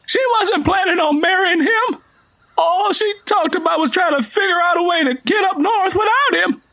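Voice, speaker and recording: A shrill, wounded Southern father. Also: normal speed, creaky, pushed and bright, exaggerated voice. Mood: upset, accusing. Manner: snarling, pleading.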